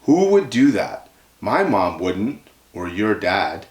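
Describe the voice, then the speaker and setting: Indignant young schoolgirl, played by a man reader, storybook dialogue, swooping pitch, roomy sound. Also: big pitch swings, slow. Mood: puzzled. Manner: indignant, blunt. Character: sour, accusing young girl in a children's storybook.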